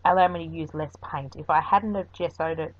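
This woman sits a touch low in pitch and talks in a loose, rambling way, dropping ums as she explains each craft step. Her voice-over comes through a dull, lo-fi recording. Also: fairly even intonation, brisk, slight background noise. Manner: chatty and rambling, with frequent ums. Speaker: craft video host. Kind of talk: voice-over craft tutorial narration.

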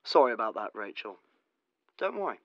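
A man's voice: lowish voice, big pitch swings, brisk, no echo. A placating, polite director in an audio drama, breezy and reassuring. His voice is very dry and a little dull, as over a studio intercom. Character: smooth-talking, reassuring male studio director. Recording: dull booth intercom sound.

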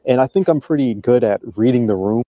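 A middle-aged man walking and vlogging outdoors in a park, speaking to a handheld camera in a measured, deliberate way. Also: mid-range voice, warm tone, no echo, dull audio. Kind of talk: vlog commentary.

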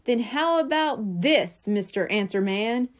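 Kobieta jako żywy, uparty chłopiec królik. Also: female voice, a little high, unhurried. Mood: challenging. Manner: expressive, eager, boastful. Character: excitable, stubborn young boy rabbit, imaginative.

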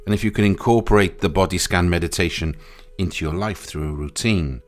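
A man guiding a meditation in a soothing, unhurried way, pausing between short phrases. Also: deep voice. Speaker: meditation guide. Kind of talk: guided meditation. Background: music.

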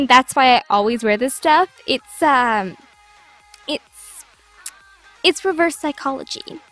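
Brash, bubbly high-voiced girl played by a woman. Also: expressive, slow pace, fairly bright tone, faint music behind, heavily acted. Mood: breezy, teasing. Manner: flippant, gushing. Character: brash, bubbly, bossy teenage girl mentor. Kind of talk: audio drama acting.

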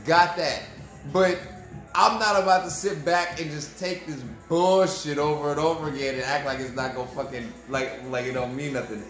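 Profane, ranting young man, high voice. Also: moderate pace, reverberant, faint music behind. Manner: profane, animated, frustrated rant to viewers. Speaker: sports fan vlogger. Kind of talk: ranting post-game fan review. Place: room at home, indoors.

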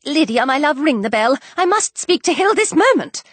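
A querulous, excitable middle-aged mother from a storybook, as a woman narrator acts her out. Her pitch is high and swings wildly as she fusses and complains. The sound is close and dry. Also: a little fast, no room sound. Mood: excited, flustered. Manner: exclamatory, complaining.

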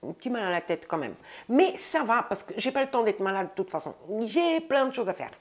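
A woman in her thirties with a stuffy nose from a cold, chatting casually to her viewers about her groceries in a bright room, recorded on a lapel mic. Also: fairly high pitch, sing-song, a little fast. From France. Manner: chatty, says "les amis" often, upbeat. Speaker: vlogger. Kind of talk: casual grocery haul vlog. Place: bright room indoors by a window.

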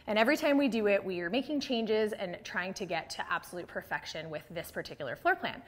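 A woman's voice: mid-range voice, quick pace. An American woman in her thirties giving a casual, enthusiastic home tour as an interior designer, speaking conversationally into a lapel mic. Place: large open-plan house interior with hard floors. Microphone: clip-on lapel mic.

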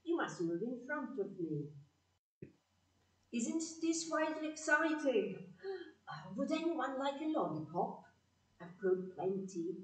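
A woman's voice: sits high, very animated, takes it slowly, long pauses, full character voice. A woman playing a fussing, good-humoured, chiding aunt in a community audio play. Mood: flustered, cheery. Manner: chiding, exclamatory. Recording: echoey room, slightly distant mic.

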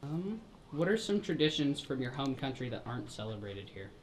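A student interviewer asking exchange students questions for a school TV show. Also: female voice, very deep voice, big pitch swings, a little fast, a little hiss or hum.

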